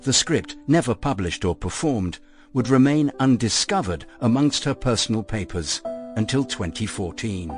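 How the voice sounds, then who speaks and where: A man reading scripted narration as the announcer of a radio play, in a measured, formal manner. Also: medium pitch, expressive. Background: music under the voice.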